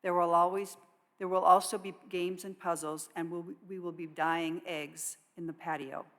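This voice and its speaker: A woman reading scripted announcements to a congregation in a measured public voice. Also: lowish voice, little pitch movement, fairly bright tone. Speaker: worship associate reading church announcements. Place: church sanctuary. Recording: long reverb of a large hall.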